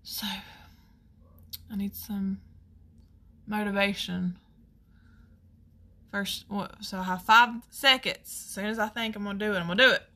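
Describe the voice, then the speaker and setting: A woman with a Southern US accent chatting casually through a makeup tutorial, folksy and rambling as she thinks out loud. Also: medium pitch, steady tone, unhurried, long pauses. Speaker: makeup artist hosting a tutorial.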